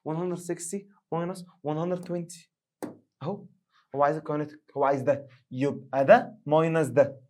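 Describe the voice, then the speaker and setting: A male science teacher with an Egyptian Arabic accent, hoarse, giving an animated exam revision lesson and acting out students' questions. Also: on the high side, racing.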